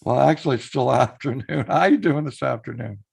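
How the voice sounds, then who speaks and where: A man with a hoarse voice, chatting casually on his live stream, greeting viewers by name and reading out their messages. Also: medium pitch, brisk, darkish tone.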